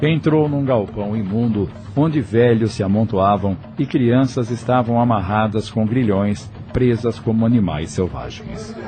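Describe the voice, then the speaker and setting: A man narrating an audio novel from a script in a measured storytelling manner. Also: fairly low pitch, lively intonation. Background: music.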